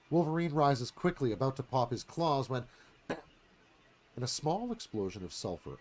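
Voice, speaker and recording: Man, radio theatre narrator, reading stage directions, very lively pitch. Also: husky. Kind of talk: dramatic screenplay read, radio theatre.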